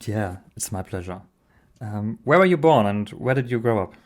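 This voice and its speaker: A man hosts an interview podcast. His voice is on the low side, and he speaks as an interviewer from Germany. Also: lively intonation, moderate pace. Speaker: podcast host. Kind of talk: interview hosting.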